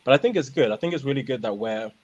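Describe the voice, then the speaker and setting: A man from the United Kingdom speaking as a panelist in a casual discussion, earnest and animated. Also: mid-range voice, fast talker, lo-fi sound, slight background noise.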